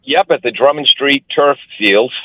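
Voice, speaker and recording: A man's voice: medium pitch, normal speed, pushed and bright, no echo, poor audio. A man in his sixties, a sports broadcaster and radio host, chatting casually with a wry, joking manner. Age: 60s. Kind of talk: casual chat.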